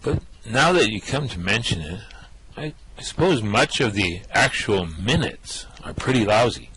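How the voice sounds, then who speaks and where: A man acts out a bullying, dim-witted alien guard for a comic sci-fi radio play. The voice is lowish and hard-edged, plodding through hesitant thoughts and officious slogans. The recording is noisy, with clicks. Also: big pitch swings, moderate pace. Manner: hesitant, plodding, shouting stock phrases. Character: dim, bullying male alien guard, bored yet dutiful.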